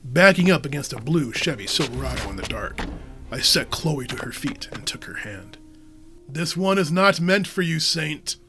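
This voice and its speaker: A man's voice: on the high side, lively intonation, normal speed, music in the background. An actor playing a wry, hard-boiled guardian, telling his story in dry, noirish first-person dramatic narration. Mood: ominous, then firm. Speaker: narrator and lead.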